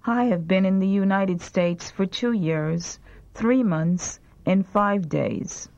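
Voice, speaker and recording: A woman reads short lesson sentences at a slow, deliberate pace for learners to follow. Her pitch sits in an ordinary middle range. The sound is dull and lo-fi, like an old tape. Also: a little mellow. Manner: slow, clear prompt sentences. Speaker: language lesson reader. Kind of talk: slow repeat-after-me language drill.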